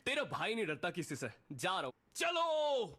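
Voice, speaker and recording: Brash comic game fighter: piercingly high, bright, theatrical short lines. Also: male voice, huge pitch swings, moderate pace, full character voice. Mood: bossy bravado. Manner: theatrical, cheeky shouting of short commands. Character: brash, comic, high-voiced male battle-game character. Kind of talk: exaggerated game voice-pack one-liners.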